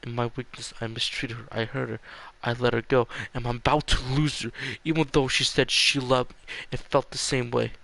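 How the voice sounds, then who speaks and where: A man voicing an earnest, guilt-ridden young man from a visual novel, halting and stammering in a subdued way. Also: medium pitch, flat delivery, vocal fry, a bit bright, dry recording, low-quality recording. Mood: regretful. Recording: music behind the voice.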